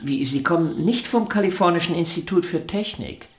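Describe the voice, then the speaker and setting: An excitable, gushing violinist who fawns over his guest, played by a male actor in a radio drama. The voice sits a little high for a man, and the room adds noticeable reverb. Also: moderate pace, natural voice. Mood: effusive. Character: effusive, flustered male violinist host. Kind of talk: radio play acting.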